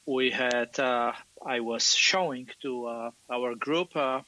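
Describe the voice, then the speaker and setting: A man's voice: mid-range voice, slow pace, sharp tone, poor audio. A man with a Polish accent, co-hosting an aviation chat, upbeat and chatty. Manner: upbeat, chatty, slightly non-native phrasing. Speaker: co-host, flying club founder. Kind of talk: casual radio chat.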